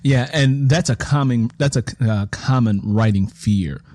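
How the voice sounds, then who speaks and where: A man with a medium-pitched voice hosts a conversational interview. He explains his points reflectively as a fellow writer, often circling back over his phrases. The recording is very dry, without room echo. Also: a little slow, darkish tone.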